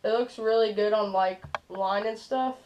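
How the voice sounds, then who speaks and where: A man's voice: high voice, fairly even intonation, normal speed, darkish tone, a little hiss or hum. A teenage boy hosting a casual fishing how-to in a small bedroom, talking loosely with lots of "like" and "stuff like that.". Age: teens. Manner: loose, filler-heavy, "like" and "stuff like that". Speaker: fishing channel host. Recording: dull lo-fi camera audio.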